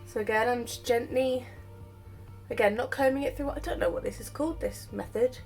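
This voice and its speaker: A woman's voice: a little high, brisk, soft background music. A woman chatting casually while she demonstrates styling her wavy hair, her voice just recovered from illness. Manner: chatty, self-deprecating, explains while doing. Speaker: hair vlogger. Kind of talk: casual hair tutorial chat.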